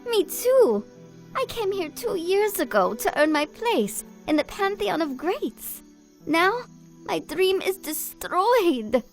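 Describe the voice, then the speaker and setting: A woman's voice: very high-pitched, heavily acted. A woman playing a proud, dramatic cartoon singer heroine who sprinkles her speech with Spanish. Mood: dejected. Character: feisty, proud, self-doubting woman singer, defiant underdog. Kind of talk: animated cartoon voice acting.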